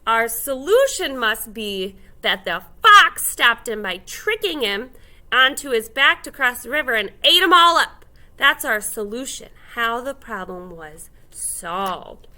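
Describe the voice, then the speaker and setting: Lady teacher walking small kids through steps, bright voice, takes it real slow, asks lots of guiding questions. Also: fairly high pitch, very animated. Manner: simple, deliberate phrasing with emphasized key words and guiding questions. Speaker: elementary school teacher. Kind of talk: step-by-step instruction for young children.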